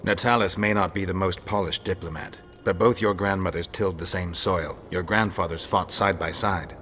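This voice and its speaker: A male actor playing a calm, cynical, world-weary monster hunter in a video game, talking in a measured, deadpan, wry way. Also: sits low, a little hiss or hum. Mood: measured persuasion. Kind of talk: dramatic video game acting.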